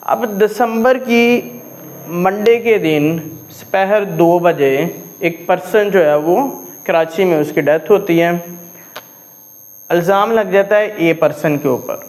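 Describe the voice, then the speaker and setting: Slow, high-voiced male law lecturer. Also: darkish tone, low-quality recording. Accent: Pakistani. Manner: explains step by step, often checks in with "okay"; reads legal English phrases slowly and deliberately.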